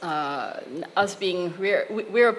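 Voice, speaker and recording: Lively woman, faint ambience. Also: lowish voice, slight background noise. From France. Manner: animated, laughing, quick bursts. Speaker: podcast co-host. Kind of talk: casual discussion.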